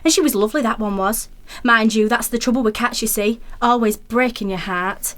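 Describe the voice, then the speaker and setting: A woman's voice: fairly high pitch, brisk, natural voice. A woman playing a chatty, melodramatic neighbour in a radio play, gossiping colloquially. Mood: mournful, gossipy.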